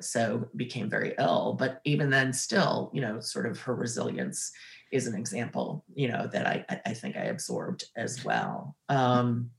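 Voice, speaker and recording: A woman's voice: a bass voice, creaky. A woman in her sixties, raised in Ohio, recalls her past as an interview guest. She speaks reflectively, circling back with frequent fillers and restarts. Her voice carries a noticeable room echo. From Ohio, USA. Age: 60s. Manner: thoughtful, many fillers and restarts. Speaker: interview guest, former corporate litigator.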